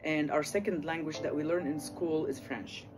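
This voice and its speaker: A man from Lebanon whose first language is Arabic, telling his story of migrating in a halting way with many fillers. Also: a little high, fairly even intonation. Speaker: interviewee. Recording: music playing under the voice.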